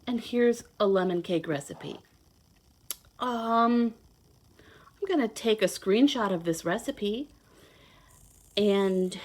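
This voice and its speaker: A woman reading a children's picture book aloud in a playful, expressive, sing-song way, adding simple asides to her young listeners. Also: mid-range voice. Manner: simple child-directed explaining. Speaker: children's read-aloud host, teacher.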